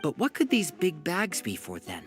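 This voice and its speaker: An actor playing an analytical video game detective who explains evidence in a measured, inquisitive way. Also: mid-range voice, wildly swooping pitch, faint music behind. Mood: analytical, curious. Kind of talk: scripted video game voice acting.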